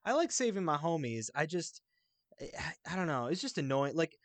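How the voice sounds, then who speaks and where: A man's voice: a little high, sing-song, normal speed, no room sound. A man co-hosting a podcast, talking casually about a video game and giving his opinions in an informal, conversational way. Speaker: podcast co-host.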